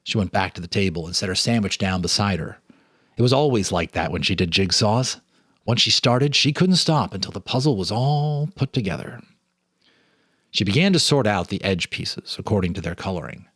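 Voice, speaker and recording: A man's voice: fairly low pitch, lively intonation, moderate pace, fairly bright tone, breathy edge, no echo, studio quality. A man reading a ghost story aloud as a storyteller, with a theatrical, suspenseful delivery. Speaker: storyteller, host.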